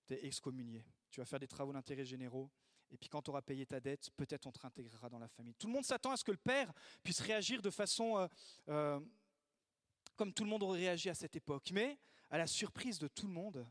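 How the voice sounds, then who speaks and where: A man preaching a sermon in a lively way, acting out the voices he quotes. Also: on the high side, no room sound. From Italy. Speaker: pastor.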